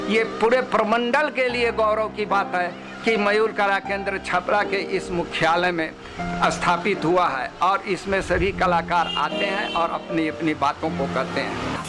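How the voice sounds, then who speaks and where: Bihari man, founding-member testimonial, high pitch, very measured pace. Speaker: founding member of arts group. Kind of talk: tribute testimonial. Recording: music behind the voice.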